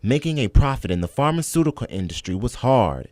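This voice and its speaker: American newsman with animated, dry-recorded delivery. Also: mid-range voice, fairly quick, no room sound. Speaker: television news reporter. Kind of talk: scripted news narration.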